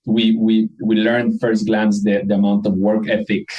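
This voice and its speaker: A man's voice: lowish voice, monotone, moderate pace, muffled recording. A man from Ecuador with an Ecuadorian accent, a company co-founder answering interview questions in an earnest, reflective way. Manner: earnest, reflective, frequent restarts.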